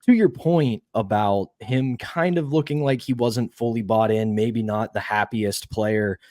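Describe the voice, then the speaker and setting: A man hosting a hockey podcast, laying out statistics in a measured way and handing questions to his co-host. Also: on the low side, darkish tone, no echo, clean studio sound. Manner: measured, stats-driven, asks co-host questions. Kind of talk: casual sports podcast talk.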